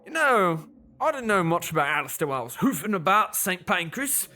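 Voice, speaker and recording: Dim, cheerful ex-copper, sing-song. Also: male voice, sits high, big pitch swings, a little fast, music in the background. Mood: earnest. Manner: blithely literal, circular answers. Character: dim, cheerful, evasive Cockney ex-policeman, soft-hearted. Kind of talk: audio drama acting.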